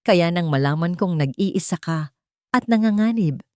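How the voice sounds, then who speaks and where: A woman's voice: lowish voice, expressive, moderate pace, no echo, clean studio sound, natural voice. A woman voicing an earnest, protective young tycoon. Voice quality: soft. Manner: earnest confession.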